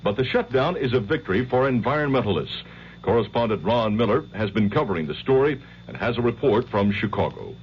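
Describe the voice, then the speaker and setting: A man anchoring an hourly radio newscast, reading in a crisp, measured news delivery. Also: fairly low pitch, animated. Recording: dull old radio broadcast with faint hiss.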